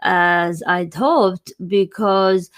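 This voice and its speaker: A woman's voice: on the low side, drawn-out words. A woman hosting an interview in a casual, informal, chatty way. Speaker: podcast host and journalist.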